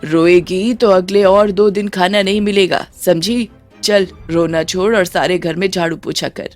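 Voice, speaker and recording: A woman's voice: fairly low pitch, fairly even intonation, measured pace, a little mellow, soft background music, lightly acted. Woman acting a cruel, scolding mother-in-law. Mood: cold menace. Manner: stern, threatening, scolding. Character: cruel, scornful older mother-in-law, domineering.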